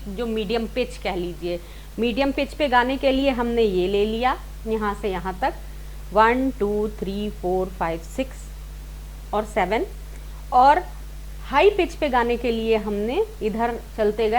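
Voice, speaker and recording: A woman's voice: on the high side, slight background noise, low-quality recording. A woman teaching a singing lesson step by step, informally rephrasing and repeating her points and mixing in English words. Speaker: singing teacher, series host.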